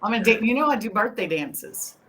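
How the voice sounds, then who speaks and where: A woman's voice: a little high, expressive, a little fast, a bit bright, slightly rough, low-quality recording. A woman in her fifties from Texas, bantering crudely and joking on a casual podcast. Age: 50s.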